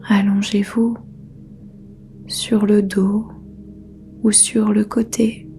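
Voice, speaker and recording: A woman whispering a guided yoga nidra meditation as its teacher, soft and unhurried throughout. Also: medium pitch, a little hiss or hum.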